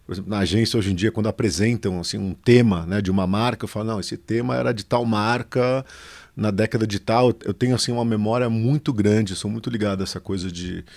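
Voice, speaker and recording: A middle-aged man speaks in a low, gravelly voice. He talks loosely and at ease, filling his sentences with little hesitations and drawn-out pauses as he tells his story as an interview guest. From São Paulo, Brazil. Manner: relaxed, many fillers, drawn-out pauses. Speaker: guest, advertising agency founder. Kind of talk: casual conversational interview.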